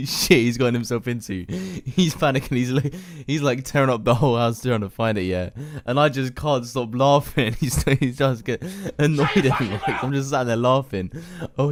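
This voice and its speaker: A young British man telling comic anecdotes casually, in a slangy, sweary, matey way. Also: mid-range voice. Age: young adult. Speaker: YouTube storyteller.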